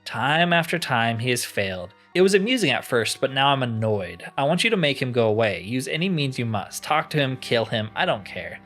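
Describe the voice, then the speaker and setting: A man's voice: medium pitch, big pitch swings, fairly quick, hardly any pauses, fairly bright tone, soft background music. A man voicing a haughty ancient master enchanter turned crystal, reading game dialogue in a grandiose, theatrical manner. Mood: annoyed, commanding. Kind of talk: reading video game dialogue aloud in character.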